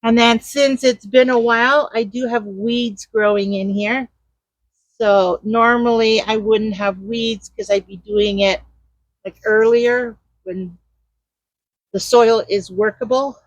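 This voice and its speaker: Loud, chatty woman gardening outdoors. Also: fairly high pitch, steady tone, slow, no room sound, rough recording. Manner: chatty, rambling, searches for words; talks while digging in the garden. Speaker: gardening vlogger. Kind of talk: casual how-to narration while working. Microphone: mic clipped to a garden trellis.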